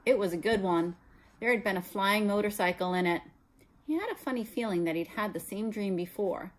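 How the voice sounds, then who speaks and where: A woman's voice: mid-range voice, fairly quick, rough recording. A middle-aged American woman, a teacher reading a children's story aloud expressively. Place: small room at home, indoors.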